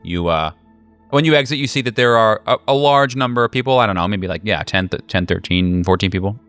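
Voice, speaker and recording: A man with a fairly low, smooth voice narrates a tabletop horror game as game master. He improvises casually and haltingly, with slangy, joking turns, over faint background music. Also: a bit bright.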